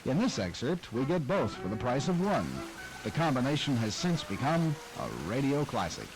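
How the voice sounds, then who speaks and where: A man's voice: mid-range voice, big pitch swings, moderate pace, rough recording. Man narrating over music. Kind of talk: scripted documentary narration.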